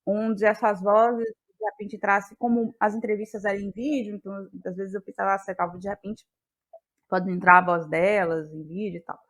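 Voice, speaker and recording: A woman's voice: mid-range voice, steady tone, fairly quick, rough recording. Female interview guest, reflective, searching delivery in an ordinary middle register. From Bahia, Brazil. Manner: searching, thoughtful, repeats words as ideas form. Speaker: interviewed guest, playwright and actress. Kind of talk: reflective interview talk about creative process.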